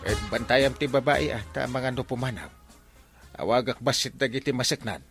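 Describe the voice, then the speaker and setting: A man's voice: mid-range voice, moderate pace, over music, close to an everyday voice. A man with an Ilocano accent playing a bumbling, easily flustered village captain in a comedy drama, hesitant and stammering.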